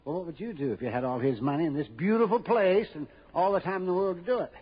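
A man's voice: high-pitched, quick pace, slightly rough, highs cut off. A man playing a fretful, fussy, wealthy older man who dithers, stammers, repeats himself and scolds, in old-time radio drama style. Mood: anxious, flustered.

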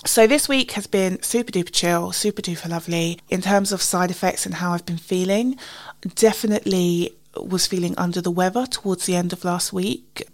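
Casting: female British podcast host, slightly low voice, a bit congested with a cold, informal and chatty delivery. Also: normal speed. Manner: informal, self-deprecating, recounts conversations in quoted dialogue. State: slightly husky and sniffly with a cold. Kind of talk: casual chatty solo podcast.

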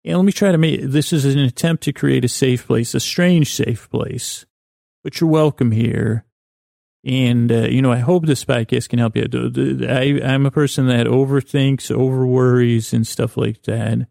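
A man hosting a bedtime podcast, improvising in a halting, meandering monologue that trails off and repeats words, with a gravelly voice. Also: medium pitch, steady tone, mellow, no room sound, clean studio sound. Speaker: podcast host.

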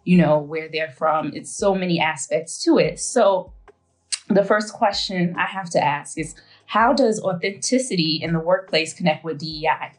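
A woman co-hosting a podcast in a relaxed, conversational way. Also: fairly low pitch, normal speed, poor audio. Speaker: podcast co-host.

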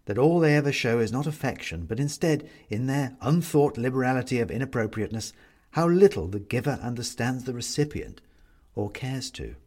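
A man narrating a literary story as an audiobook reader, measured and wry, with theatrical, deliberate phrasing. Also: medium pitch, lively intonation, a little mellow.

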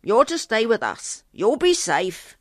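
A woman's voice: on the high side, huge pitch swings, slow pace, dry recording, studio quality, voice pushed up, full character voice. Woman reading a plain-spoken, reassuring farmer dad. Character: gruff but kindly farmer father driving a cart. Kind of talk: storybook reading.

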